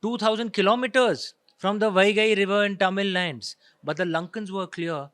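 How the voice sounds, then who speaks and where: A man's voice: high voice, steady tone, moderate pace, a bit bright, clear, resonant tone, dry recording, studio quality. A man with an Indian accent explaining his views in an interview, emphatic and argumentative, often checking in with rhetorical questions. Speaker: author of historical fiction, interview guest.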